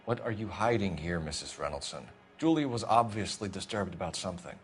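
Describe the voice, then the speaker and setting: A stern 1940s detective in a video game, his low voice rising and falling widely. He questions formally and presses for answers. Faint music plays underneath. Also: lively intonation, a little fast. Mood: accusing. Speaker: police detective. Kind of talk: video game interrogation scene.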